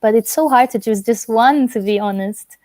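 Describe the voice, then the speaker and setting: A woman in her twenties, raised in Italy, talks easily as an interview guest, filling her sentences with casual "like" and "kinda". Her voice is warm and mellow, and the recording is dry and close. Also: fairly high pitch, normal speed, no room sound. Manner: relaxed, chatty, many fillers like "like" and "kinda". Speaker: guest singer.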